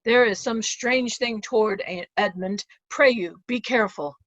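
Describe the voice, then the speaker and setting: A woman's voice: a little high, measured pace, no echo, dull audio. A woman playing a dutiful, troubled older lord, solemn and concerned, in an amateur Shakespeare reading. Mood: solemn, secretive.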